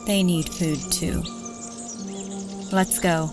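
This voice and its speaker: A woman playing a pragmatic, calm, weary thief in an audio drama. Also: lowish voice, drawn-out words, music in the background. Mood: resolute.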